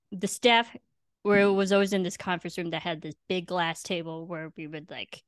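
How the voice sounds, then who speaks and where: A young woman hosting a casual podcast, with an easy, chatty delivery and a fondness for poking fun at herself. Her voice sits at an ordinary middle pitch. It is recorded close and dry, with no room sound. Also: a bit bright, clear, resonant tone, no echo. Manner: chatty, self-mocking storyteller. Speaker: podcast host. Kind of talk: casual chat with a friend.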